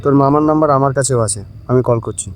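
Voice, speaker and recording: A man's voice: medium pitch, fast, lightly acted. A man playing a practical, insistent young man in an audio drama, talking in an urgent conversational way. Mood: eager, insistent. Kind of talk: Bengali audio story acting.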